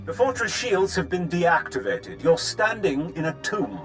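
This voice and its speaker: A man playing a smug, gloating Imperial villain commander in a video game, mocking and theatrical with savouring pauses. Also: fairly high pitch, big pitch swings, fairly bright tone, soft background music.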